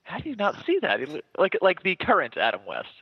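A man co-hosting a casual podcast, laid-back and quick to laugh. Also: sits high, huge pitch swings, husky, dry recording, lo-fi sound. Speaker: podcast co-host. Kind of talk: casual comedic chat.

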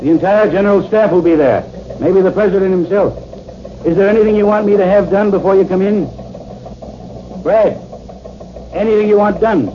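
An American actor playing an anxious, commanding army general in a 1950s radio drama, with an authoritative, urgent manner. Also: male voice, high voice, moderate pace, long pauses, lightly acted. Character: anxious, commanding male army general fretting over his project. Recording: muffled, noisy old 1950s radio recording.

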